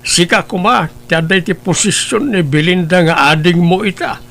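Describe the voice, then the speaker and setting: A man's voice: high-pitched, moderate pace, vocal fry, fairly bright tone, heavily acted. A man playing a stern old grandfather. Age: older. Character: elderly grandfather, gentle and scolding.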